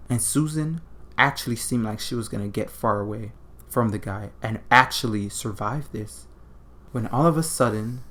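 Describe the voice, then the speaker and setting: A man's voice: fairly low pitch, fairly bright tone. A man narrating a comedic horror story in an informal, slangy, irreverent way.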